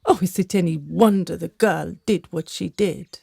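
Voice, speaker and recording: A woman's voice: on the low side, moderate pace, vocal fry, warm tone, husky, dry recording, clean studio sound, exaggerated voice. A woman playing an older gossiping servant in a radio drama, secretive, theatrical and conspiratorial.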